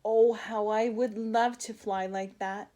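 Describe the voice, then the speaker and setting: A woman's voice: medium pitch, fairly even intonation, takes it slowly, warm tone, pitched above the performer's own voice, close to an everyday voice. A woman voicing a wistful, ordinary little male caterpillar in a children's storybook, earnest and plaintive. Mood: awed, longing.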